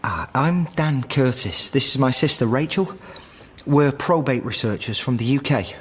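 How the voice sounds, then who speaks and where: Polite, hesitant British researcher. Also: male voice, medium pitch, lively intonation, moderate pace, breathy edge. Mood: polite, probing. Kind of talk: naturalistic radio drama acting.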